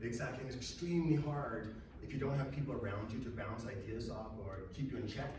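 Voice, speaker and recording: A man from Denmark with a hoarse, raspy voice, giving an energetic motivational talk to an audience and asking them questions. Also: mid-range voice, brisk. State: hoarse from being sick. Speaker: speaker at a marketing event. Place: large echoey event room. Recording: echoey room, mic far away, loud noise.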